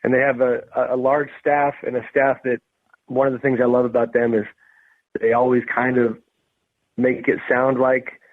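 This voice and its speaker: A man's voice: medium pitch, level tone, normal speed, dry recording, phone-quality sound. A man giving analytical sports commentary in a conversational, emphatic way. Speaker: sports podcast host.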